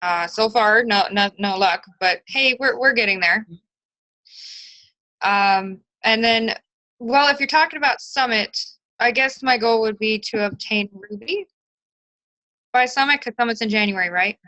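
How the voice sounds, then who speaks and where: Woman on a group call; bright, fairly high voice, chatty and upbeat. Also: measured pace, dry recording, muffled recording. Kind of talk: casual group video call. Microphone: video call.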